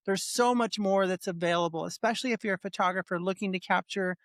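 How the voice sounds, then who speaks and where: A woman hosts a casual podcast interview, eager and quick to react to her guest. Her questions come out loose and conversational. The sound is dry and close, with no room around it. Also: mid-range voice, no room sound. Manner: enthusiastic, reacts with short interjections.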